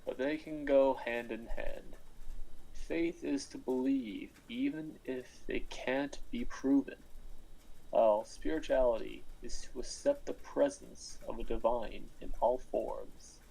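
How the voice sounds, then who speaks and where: A man playing a mysterious, philosophical entrepreneur in a screenplay read, grandiose and deliberate. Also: mid-range voice, fairly even intonation, gravelly, dark tone, slightly rough, low-quality recording. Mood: solemn, probing. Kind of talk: script table read for a screenplay.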